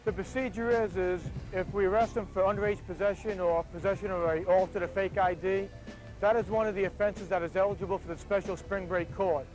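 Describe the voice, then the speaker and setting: A man's voice: high voice, steady tone, fairly quick, a little mellow, soft background music, rough recording. A male police officer explaining procedures and instructing people in a procedural, matter-of-fact manner. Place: busy street outdoors at night.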